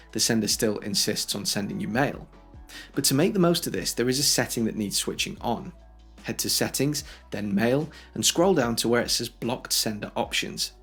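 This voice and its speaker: A man's voice: medium pitch, slightly rough. A man hosting a tech tutorial, explaining things step by step in a conversational way. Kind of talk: instructional how-to narration for video.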